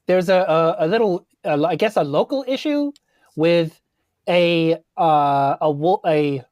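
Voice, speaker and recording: A woman's voice: fairly low pitch, measured pace, mellow, dry recording, clean studio sound. A woman improvising casually as a tabletop game player, in a hesitant, rambling way. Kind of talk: casual in-character improvised chat.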